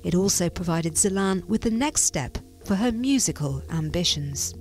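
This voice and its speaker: A woman narrating a documentary voice-over in a measured way. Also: fairly low pitch, lively intonation, faint music behind.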